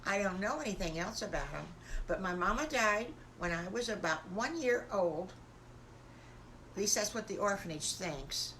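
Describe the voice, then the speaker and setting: A woman's voice: medium pitch, animated, normal speed, a bit bright, slight background noise, close to an everyday voice, poor audio. A woman voicing an eager, innocent young wolf boy in a children's story, with a childlike, earnest way of talking. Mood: matter-of-fact, sad.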